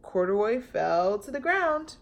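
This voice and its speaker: A woman reading a children's picture book aloud as a storytime host, with expressive narration, drawn-out words and playful sound effects. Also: fairly high pitch, creaky.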